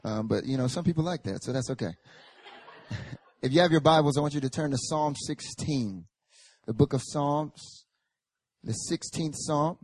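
A young male pastor with a hoarse, raspy voice from a recent chest infection, joking casually with the congregation and then reading Scripture and praying with rising fervor in a church service. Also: fairly high pitch, normal speed, long pauses, darkish tone, no echo. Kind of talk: sermon.

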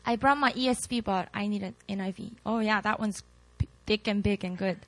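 A woman in her late twenties, a pastor with a Korean accent, chatting warmly and laughing at herself before reading scripture to a campus group. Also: medium pitch, fairly quick, dry recording. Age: late 20s. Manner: chatty, self-deprecating, laughs often.

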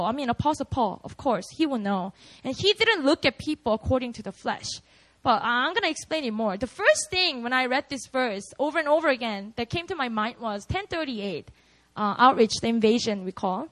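A woman's voice: high-pitched, fairly bright tone, poor audio. A young woman with a Korean accent preaching at a prayer meeting, candid and chatty, often laughing at herself.

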